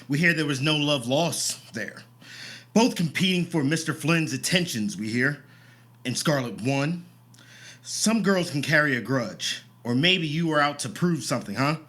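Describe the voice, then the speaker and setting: An actor playing a sardonic, insinuating police detective in a radio play, needling and mock-polite. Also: male voice, fairly high pitch, lively intonation, moderate pace, fairly bright tone. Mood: needling, accusing.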